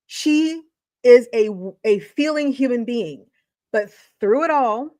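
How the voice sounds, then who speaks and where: A woman's voice: fairly high pitch, lively intonation, slow, warm tone, dry recording, studio quality. A woman educator in her forties or fifties giving emphatic, motivational video commentary, repeating phrases for effect. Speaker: educator and channel host.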